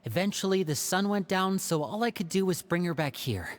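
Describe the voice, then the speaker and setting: Young magician, thoughtful storyteller, expressive pitch. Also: male voice, brisk, a little hiss or hum. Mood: weary. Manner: storytelling, reflective. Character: young male stage magician, thoughtful and earnest. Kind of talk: video game voice acting. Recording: game audio over soft music.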